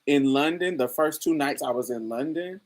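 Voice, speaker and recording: A woman hosting a casual chat, telling stories in a lively way with frequent laughter and free swearing. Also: sits low, moderate pace. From New Orleans, USA. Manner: animated storytelling, frequent laughter, swears freely. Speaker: host. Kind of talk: casual livestream chat with viewers.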